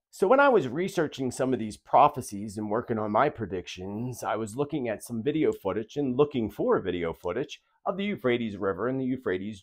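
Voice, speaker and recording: A man's voice: medium pitch, darkish tone. A man hosting a video, narrating prophecy in a dramatic, emphatic, theatrical way. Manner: emphatic, theatrical, rhetorical questions. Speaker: video host and self-described predictor.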